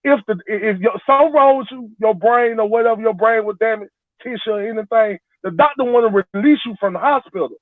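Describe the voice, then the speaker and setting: Male caller, 40s, defensive and rambling; high pitch, thin phone-line sound. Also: fast talker, no room sound. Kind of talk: heated casual debate. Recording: tinny phone line, dry.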